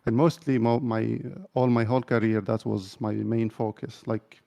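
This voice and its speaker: Middle-aged guy from algeria, gravelly voice, lots of uh and restarts, chatty interview answers. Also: medium pitch, little pitch movement, moderate pace, dark tone. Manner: hesitant, many uh fillers and restarts. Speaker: CTO.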